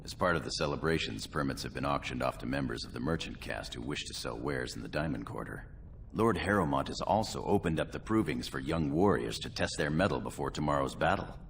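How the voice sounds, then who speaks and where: An actor playing a wry, loyal warrior and second to a young noble lord in a fantasy game, gravelly and dryly deferential. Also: male voice, rumbling low, expressive, a little fast, a bit bright, a little hiss or hum, full character voice. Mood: wry, relaxed. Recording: music behind the voice.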